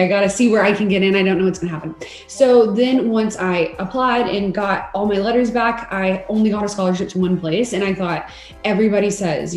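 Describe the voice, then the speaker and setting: A woman's voice: medium pitch, a little mellow. Chatty young woman in echoey room. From Texas. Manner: frank and chatty, hesitant "um" fillers. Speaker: guest, second-year law student. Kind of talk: casual interview chat.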